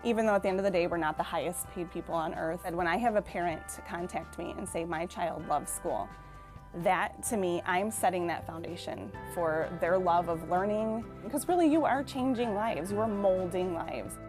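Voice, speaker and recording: A woman's voice: on the low side, normal speed. A woman speaking earnestly about her work in a testimonial for a recruitment advert. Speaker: preschool teacher giving a testimonial. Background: music bed.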